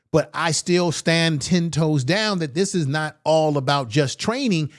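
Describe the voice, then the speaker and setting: A man reacts to clips with blunt, fiery commentary and plenty of slang. His voice sits slightly high, and the sound is dry and close with no room echo. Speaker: political commentary host.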